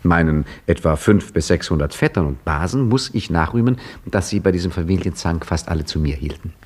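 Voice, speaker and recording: Hakim, a wry, husky storyteller. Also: male voice, low voice, animated, a little fast, a little mellow. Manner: dry, ironic, theatrical. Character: Hakim, wry storyteller looking back on his youth, lively and ironic. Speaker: narrator and protagonist. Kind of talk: 1950s radio play acting.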